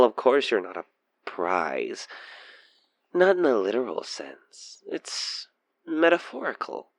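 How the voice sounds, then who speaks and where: A man's voice: lowish voice, dry recording, exaggerated voice. A man playing an obsessed, taunting stalker killer in an intimate audio roleplay, theatrical and teasing as he slips between murmurs and whispers. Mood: possessive, menacing. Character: obsessed male stalker killer, playfully menacing, infatuated, taunting.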